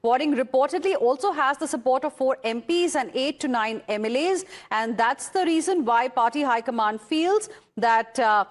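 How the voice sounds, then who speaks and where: A woman's voice: high-pitched, lively intonation, moderate pace, hardly any pauses. A woman with an Indian accent anchoring live television news in an emphatic way.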